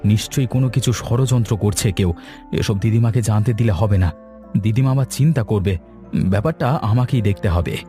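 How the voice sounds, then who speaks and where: A man voicing a caring, watchful grown grandson in a village cartoon, gentle and reassuring. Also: medium pitch, fast, soft background music, close to an everyday voice. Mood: suspicious. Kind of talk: Bengali cartoon voice acting.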